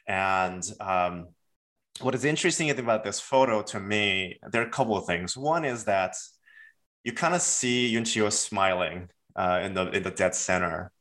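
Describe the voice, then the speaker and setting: Low-voiced man, halting academic guest. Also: animated, a bit bright. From South Korea. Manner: thoughtful, many fillers and restarts. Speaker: author, guest. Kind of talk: academic interview.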